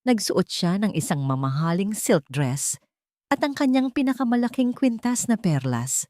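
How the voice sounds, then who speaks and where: A woman's voice: mid-range voice, sing-song, normal speed, warm tone, no echo, clean studio sound. A woman storyteller reading scripted fiction in a dramatic narration style.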